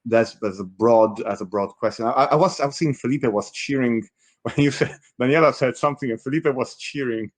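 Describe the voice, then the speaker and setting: A man's voice: mid-range voice, fairly quick. A man from Italy, moderating a panel discussion, often repeating words and restarting phrases as he works out his point.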